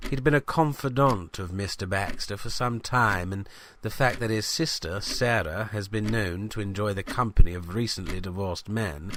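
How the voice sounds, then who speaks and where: Casting: male actor for self-important village newshound, lower-pitched, stuffy and formal, comic audio fiction narration. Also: a bit bright, a little hiss or hum, natural voice. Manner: pompous, formal, dismissive. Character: pompous, self-important male small-town novice reporter. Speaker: reporter. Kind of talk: scripted comedy narration, journalist's audio diary.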